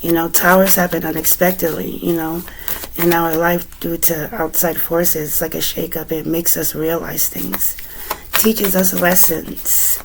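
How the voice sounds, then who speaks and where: A woman with a raspy, recovering voice giving a relaxed, chatty tarot reading at home, with many fillers. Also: lowish voice, a little slow, noisy background. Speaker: tarot reader. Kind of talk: casual tarot reading to camera.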